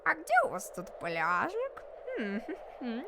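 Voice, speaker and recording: A woman's voice: on the high side, wildly swooping pitch, a little slow, gravelly, very noisy, heavily acted. A female performer voicing a fussy uninvited newcomer in an amateur cartoon. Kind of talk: children's amateur animated cartoon dialogue.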